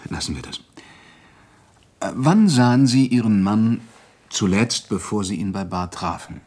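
A man's voice: lowish voice, animated, unhurried, a little mellow, husky. A man playing a businesslike, brusque police inspector in a radio play, questioning in a clipped manner. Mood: probing. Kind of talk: German radio play acting, interrogation dialogue.

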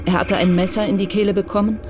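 A woman narrating a radio crime drama in a calm, measured way. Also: medium pitch, noisy background.

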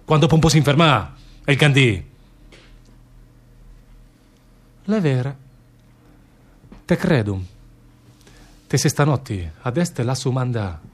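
A naive northern Italian labourer in a radio play, with a Lombard accent and a medium-pitched voice. He is stubborn and plaintive, rambling on and repeating himself in dialect. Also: animated, unhurried, pauses often. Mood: indignant. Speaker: stage actor in a radio theatre play. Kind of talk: dialect theatre acting.

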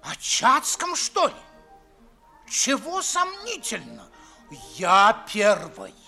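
An actor playing a middle-aged, pompous and indignant gentleman official in a radio play, declaiming emphatically. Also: very high voice, wildly swooping pitch, slow pace, creaky, full character voice. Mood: indignant, boastful. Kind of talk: 1950s Russian radio stage acting. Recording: 1950s radio theatre recording, faint music.

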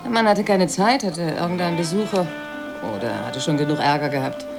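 A woman's voice: on the low side, lively intonation, a little fast, creaky, music in the background. A woman playing a reproachful, weary middle-class wife in a radio play. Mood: weary, defensive.

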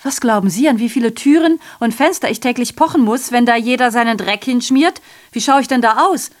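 A woman's voice: on the high side, a little fast, lightly acted. An actress playing a sardonic, aggrieved female messenger in a radio drama, delivering a digressive monologue full of rhetorical questions and self-corrections. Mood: indignant, sardonic.

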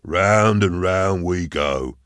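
A male narrator performs an old, overweight caretaker for an audiobook. The voice is low and gruff, that of a man in his sixties who once soldiered and still guards his floor. The sound is dry, with no room around it. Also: takes it slowly, fairly bright tone, no echo. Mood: gruff, challenging. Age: 60s. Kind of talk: audiobook character dialogue.

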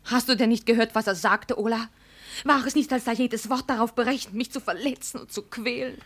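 A woman's voice: a little high, fairly even intonation, a little fast, natural voice. An actress in a 1950s German radio play playing a proud, troubled daughter ashamed of her mad father, dramatic and emotional. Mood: bitter, hurt.

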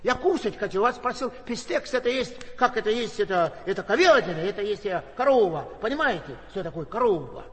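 A man's voice: sits high, big pitch swings, fairly quick, few pauses, fairly bright tone. An actor playing a hungry man from Karelia who speaks broken Russian with a put-on Finnish accent and repeats himself insistently, on a stage recording. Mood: baffled, insistent. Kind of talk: stage comedy sketch. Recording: stage recording in a reverberant hall.

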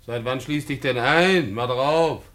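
A man's voice: medium pitch, unhurried, noisy background, close to an everyday voice. An actor playing a brusque middle-aged Berlin tavern keeper and father in a German radio drama, speaking in blunt short commands. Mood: brusque, irritated. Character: gruff working-class Berlin tavern keeper, father, communist.

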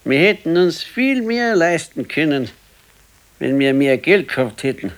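An actor playing an elderly working-class husband with a Bavarian accent in a naturalistic radio play, curt, dry and grumbling. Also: male voice, on the high side, very animated, measured pace, clean tone. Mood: grumbling, defensive.

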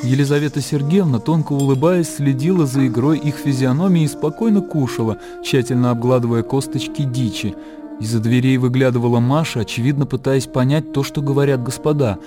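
A man's voice: medium pitch, a little fast. A man narrating a literary radio drama from a script. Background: music.

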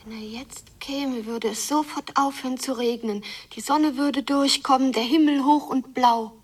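A woman's voice: sits high, fairly even intonation, unhurried, breathy edge, far from the mic. An actress playing a hurt, yearning girl abandoned by her father in an intense radio play monologue that often drops into whispering. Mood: wistful longing.

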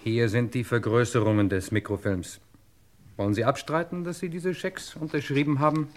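An actor playing an insistent, dryly polite investigator in a German radio crime drama, pointed and official. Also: male voice, mid-range voice, fairly even intonation, moderate pace, slight background noise, close to an everyday voice. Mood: pressing.

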